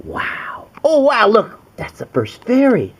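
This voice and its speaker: A man's voice: sits high, wildly swooping pitch, takes it slowly, fairly bright tone, slightly rough. A man reading a children's storybook to his young daughter as her father, with exaggerated wonder. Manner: exaggerated wonder, gasps and coos.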